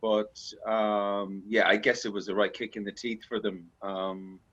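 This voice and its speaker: An actor talking casually in an interview, relaxed and self-deprecating. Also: male voice, on the low side, a little slow, dull audio.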